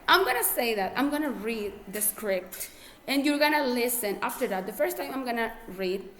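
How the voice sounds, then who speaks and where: Ecuadorian lady teaching english, spanish accent, fairly high voice, patient, keeps saying okay. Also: expressive, moderate pace, a bit bright, slight background noise. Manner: patient, repeats herself, checks with "okay?". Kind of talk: classroom instruction.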